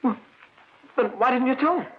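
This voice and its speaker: A man's voice: very high-pitched, normal speed. An actor playing a condemned man who stammers as he pleads his innocence, in a 1940s radio drama. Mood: desperate pleading. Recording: muffled old radio transcription.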